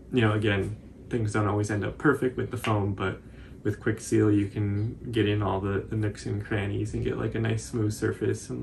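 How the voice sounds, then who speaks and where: Creaky-voiced young man. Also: on the low side, a little hiss or hum. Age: 20s. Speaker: cosplay tutorial co-host. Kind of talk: casual unscripted tutorial commentary. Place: indoor living room, seated on couch.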